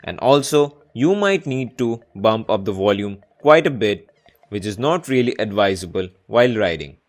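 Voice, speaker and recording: A man's voice: on the low side, sing-song, normal speed. A man presenting a scripted product review as a video reviewer, in narration style. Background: background music.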